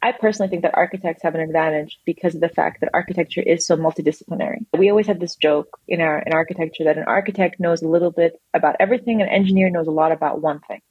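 A woman guest in a conversational interview, telling her story quickly and animatedly, with frequent restarts. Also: lowish voice, steady tone, slightly rough, no room sound, dull audio. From grew up in England, Australia and the UAE. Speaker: guest, design manager.

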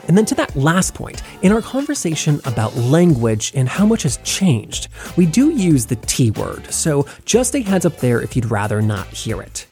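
A man's voice: fairly high pitch, huge pitch swings, moderate pace, hardly any pauses, a little mellow, faint music behind. A man reading a welcoming, upbeat introduction as a podcast host.

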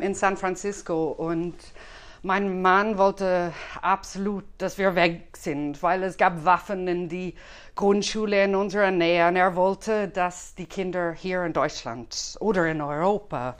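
A woman's voice: fairly low pitch, measured pace, smooth. A woman from Dublin with an Irish accent, a guest chatting casually in German with many hesitations. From Ireland, Dublin. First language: English. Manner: hesitant, many fillers, friendly. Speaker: guest, cinema series organizer. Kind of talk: casual interview chat.

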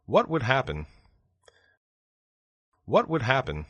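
A man reading short phrases for language learners, saying each one twice in a slow, deliberate way. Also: mid-range voice, huge pitch swings, pushed and bright, no room sound. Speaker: language lesson speaker.

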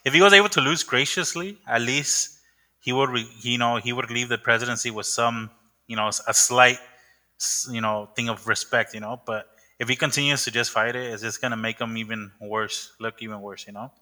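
Bright-voiced young male podcast host. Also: medium pitch, a little fast, rough recording. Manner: rambling, many fillers and restarts. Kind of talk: casual chat.

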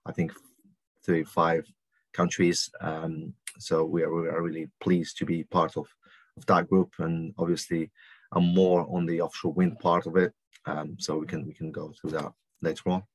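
Man, from Algeria, very low, monotone, halting, podcast guest. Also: breathy edge, dry recording. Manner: halting, many ums and restarts. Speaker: guest consultant. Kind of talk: podcast interview answers.

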